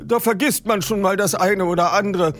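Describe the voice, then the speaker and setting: A jittery old man near eighty stammers and catches his breath, his voice pitched high and strained, as a male reader puts him on. He sounds loud and pressed, with a fearful tremble. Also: fairly even intonation, moderate pace. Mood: nervous. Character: frightened elderly man nearly eighty.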